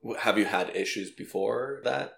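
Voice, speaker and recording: Easygoing thirtysomething male host, lowish gravelly voice, echoey room. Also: moderate pace, a bit bright. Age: 30s. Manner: easygoing, asks questions, repeats yeah. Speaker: podcast host. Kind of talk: casual podcast chat.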